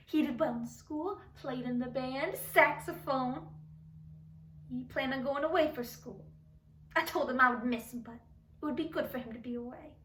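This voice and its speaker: Woman playing a sad defensive mom in a stage monologue, high voice, halting, pleading, echoey room. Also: fairly bright tone. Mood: tender, wistful. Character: grieving, defensive, doting mother of a troubled son. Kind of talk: dramatic monologue for speech competition. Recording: echoey room, distant microphone.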